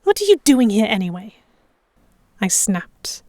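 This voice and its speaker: A sharp-tongued heroine voiced by a woman narrates a pirate audio drama in the first person. Her pitch is mid-range and the sound is dry, with no room around it. She comes across as stubborn and proud. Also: very animated, a little slow, no echo. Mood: irritated.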